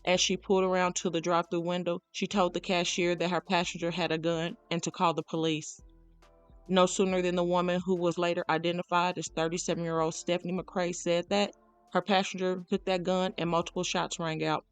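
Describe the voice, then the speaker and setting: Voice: medium pitch, level tone, brisk, smooth, no room sound, faint music behind. A narrator reading a scripted true crime documentary account.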